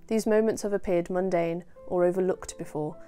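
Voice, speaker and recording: A woman's voice: fairly low pitch, fairly even intonation, normal speed, warm tone, soft background music. A woman artist reading a thoughtful statement about her work. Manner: thoughtful, read aloud.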